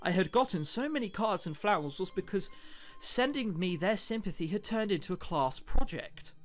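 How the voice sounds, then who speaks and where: A man with a slightly high, very lively voice reads a visual novel aloud for a let's play. He drops chatty, wry remarks between the lines and trips over the odd word. Music plays behind him. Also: moderate pace. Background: background music.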